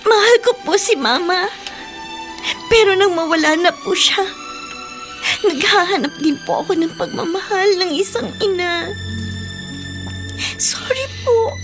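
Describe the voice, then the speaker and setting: A woman's voice: extremely high pitch, expressive, unhurried, long pauses. A woman playing an earnest, distressed young Filipina daughter in a radio drama. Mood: apologetic, earnest. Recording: clear music bed behind voice.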